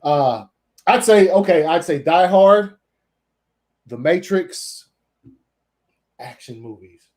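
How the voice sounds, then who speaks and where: Higher-voiced man, slangy and emphatic. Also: animated, slow pace, pauses often, a little mellow. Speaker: podcast panelist. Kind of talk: casual movie chat.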